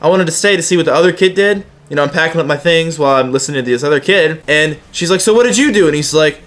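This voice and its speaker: A man's voice: a little high, animated, fast, fairly bright tone. A young man telling personal anecdotes in a casual, slangy, sometimes profane way, acting out the dialogue as he goes. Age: young adult. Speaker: YouTuber telling personal stories.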